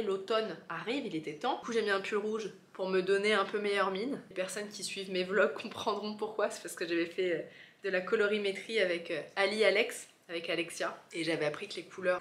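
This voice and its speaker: A woman's voice: mid-range voice, fairly bright tone. Chatty woman with a cold, roomy sound. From France. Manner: chatty, many asides and fillers. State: stuffy with a cold. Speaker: beauty vlogger. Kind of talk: casual chat to camera. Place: her office, indoors.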